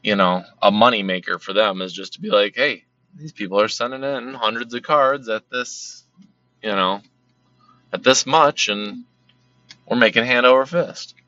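Guy rambling about cards, kinda lowish voice, going hoarse, muffled lo-fi audio. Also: normal speed, bright, forceful tone. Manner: rambling, repeats words, many fillers. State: losing his voice. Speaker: card collecting channel host. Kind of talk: casual monologue. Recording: slightly dull lo-fi sound.

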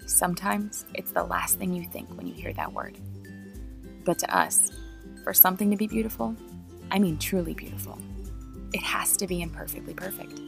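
A woman reading a scripted, reflective podcast intro in a soft, partly whispered way, over music. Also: fairly low pitch, big pitch swings, moderate pace, vocal fry. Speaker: podcast host.

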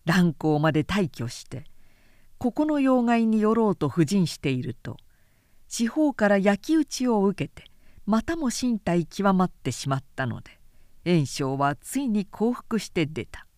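A woman narrates a historical novel in a formal, measured way. Her reading is unhurried, with pitch that moves widely from phrase to phrase. The sound is dry and close. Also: sing-song, a little mellow, no echo. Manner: measured, formal storytelling delivery.

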